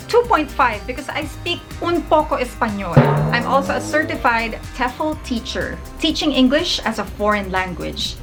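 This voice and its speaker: A woman's voice: a little high, a bit bright. A young woman with a Filipino accent, a native Tagalog speaker, giving chatty, encouraging advice in a casual vlog. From Philippines. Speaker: vlogger and ESL teacher.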